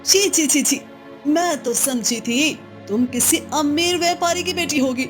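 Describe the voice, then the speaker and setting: Woman playing a haughty, high-voiced scheming wife. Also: measured pace, soft background music. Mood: disgusted. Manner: haughty, scornful. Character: proud, scheming, jealous chieftain's first wife. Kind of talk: Hindi moral-story cartoon dialogue.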